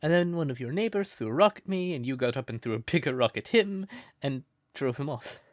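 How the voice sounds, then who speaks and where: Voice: mid-range voice, expressive, fairly quick, no echo, clean studio sound, natural voice. A performer voicing a shy, smitten crow turned human in an intimate comfort roleplay, hesitant and stammering, naively earnest. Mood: earnest, admiring.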